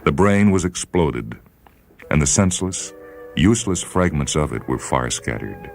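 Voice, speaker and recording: A man reading science fiction as scripted dramatic narration, with a measured, solemn delivery. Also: very deep voice, animated, gravelly, soft background music. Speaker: story reader and programme host.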